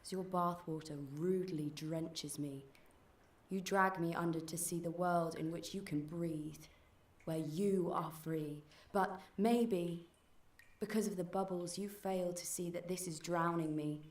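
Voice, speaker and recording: With clear room echo and some distance from the mic, a woman recites verse. Her voice is a little on the low side. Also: steady tone, measured pace, darkish tone. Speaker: poetry reader. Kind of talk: spoken-word poetry recital.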